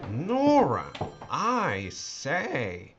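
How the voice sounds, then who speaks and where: An actor playing a jovial, pompous English lord eager for war, with hearty upper-class phrasing in a period drama. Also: male voice, on the high side, huge pitch swings, very slow, highs cut off, noisy background, heavily acted. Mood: delighted. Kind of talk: period audio drama acting.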